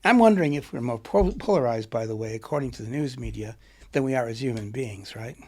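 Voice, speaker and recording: A man's voice: mid-range voice, lively intonation, fast, darkish tone. A middle-aged man, an author and poet, being interviewed and thinking aloud with a reflective, rambling manner. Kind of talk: conversational interview.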